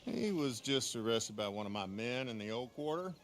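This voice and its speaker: An actor playing a deferential, servile police chief who speaks politely in a game cutscene. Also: male voice, mid-range voice, animated, moderate pace, fairly bright tone, a little hiss or hum, natural voice. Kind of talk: video game cutscene acting.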